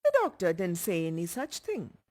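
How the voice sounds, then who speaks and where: A woman's voice: lowish voice, wildly swooping pitch, normal speed, darkish tone. A woman voicing a caring, anxious young woman in a story, earnest and coaxing. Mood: coaxing, scolding.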